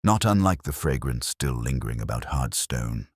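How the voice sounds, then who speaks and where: A man narrating a scripted literary mystery story in a measured storytelling manner. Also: rumbling low, sing-song, darkish tone, dry recording, clean studio sound. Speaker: audiobook narrator.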